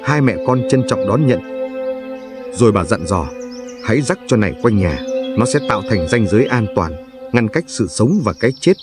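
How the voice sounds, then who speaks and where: A man with a fairly low voice tells a folk tale as dramatic scripted narration. His delivery is solemn and full of feeling, and very prominent music plays behind him. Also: big pitch swings, moderate pace.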